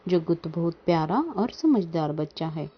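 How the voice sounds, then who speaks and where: Lady doing a gentle mama bird for a kids cartoon, earnest motherly talk, lo-fi sound. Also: fairly low pitch, expressive, moderate pace, breathy edge. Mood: doting. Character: gentle, doting mother bird, easily dismayed. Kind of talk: children's cartoon dubbing. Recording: dull lo-fi sound.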